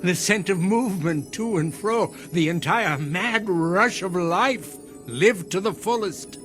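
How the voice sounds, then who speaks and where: Creepy refined older gentleman, highish voice, slow n savoring, radio play w music under. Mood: savoring, relishing. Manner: measured, savoring, ornate phrasing. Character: sinister, genteel older man dealing in a mysterious device. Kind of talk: radio drama acting.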